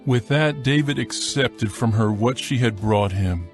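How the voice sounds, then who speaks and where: A male narrator reads scripture in a formal, measured way. His pitch is mid-range, and music plays softly behind him.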